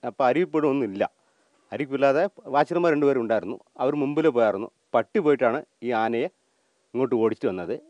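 A man's voice: mid-range voice, lively intonation, quick pace, a little mellow, dry recording. A middle-aged man, answering a reporter spontaneously. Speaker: bereaved villager interviewee. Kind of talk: spontaneous news interview answers. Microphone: handheld reporter microphone. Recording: dull, dry lo-fi field recording.

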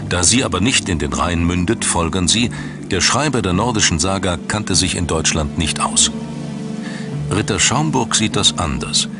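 A man reading scripted narration as the narrator of a documentary. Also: low voice.

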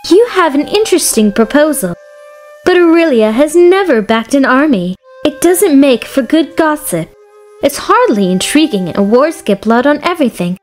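A woman's voice: sits high, very animated, moderate pace, a little mellow. A woman playing a vivacious, gossip-loving noblewoman in a game fan dub, gushing and theatrical. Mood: gracious, playful. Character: vivacious, gossip-loving young noblewoman ruling a wealthy city. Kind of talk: fan dub voice acting of a fantasy story game. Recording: music behind the voice.

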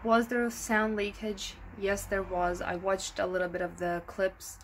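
A woman's voice: medium pitch, flat delivery, unhurried. A young adult woman talking casually to camera in a product review, informal and thinking aloud, recorded indoors on a camera at arm's length. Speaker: fitness vlogger. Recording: clear background noise.

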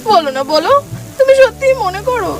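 An actress playing a young newlywed wife who speaks earnestly and pleadingly in an audio drama. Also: female voice, extremely high pitch, very animated, normal speed, faint music behind.

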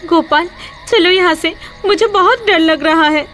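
A woman voicing a timid young village wife in an animated story. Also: very high voice, measured pace, slight background noise. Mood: frightened.

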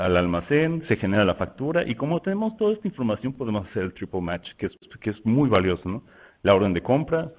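A man from Mexico with a Mexican Spanish accent, walking through software in a loose, conversational webinar style full of fillers and restarts. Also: on the low side. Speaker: software presenter. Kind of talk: webinar product walkthrough. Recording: tinny phone-like line.

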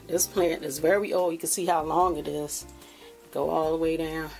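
A woman's voice: deep voice, fairly even intonation, normal speed, creaky, a little mellow, soft background music, rough recording. A woman chatting casually while she films her backyard garden and her indoor plants on a handheld phone, in a rambling, homey way. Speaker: vlogger showing her garden. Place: backyard outdoors, then indoors by a window.

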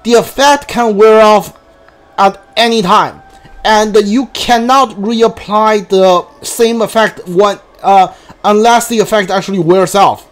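A man streaming a game with casual, halting commentary, often repeating himself. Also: high voice. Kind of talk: casual live playthrough commentary. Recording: steady background noise.

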